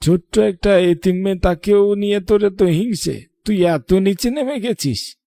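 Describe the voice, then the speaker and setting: A man's voice: sits high, a little slow, gravelly, warm tone, no echo. A man playing an indignant old grandfather in a Bengali horror cartoon, scolding and reproachful. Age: older. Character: indignant old grandfather, murdered, returns as vengeful ghost.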